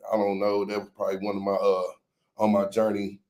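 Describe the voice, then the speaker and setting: A man with a lowish voice talks through a casual interview as the guest. He keeps things relaxed and slangy, tagging "man" onto the end of many of his thoughts. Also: fast. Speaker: music promoter guest.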